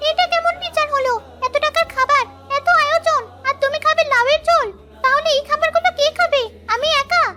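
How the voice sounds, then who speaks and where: Very high-pitched fretful little girl acted by a woman. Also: steady tone, fairly quick, over loud music. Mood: dismayed. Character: pampered, fretful young daughter of a wealthy father. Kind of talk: cartoon dialogue.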